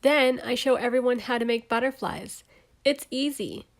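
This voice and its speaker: Higher-pitched woman reading a children's storybook. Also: moderate pace. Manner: animated, clearly enunciated, spells out and explains words. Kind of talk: expressive read-aloud storytelling for young children.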